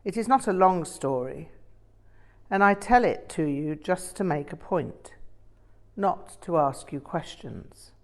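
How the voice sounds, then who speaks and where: A woman reader voices an older Tibetan Buddhist master in an audiobook, a blunt, teasing storyteller. The delivery is slow and low, with deliberate weight on the key words. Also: animated. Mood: didactic, blunt. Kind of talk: audiobook character reading.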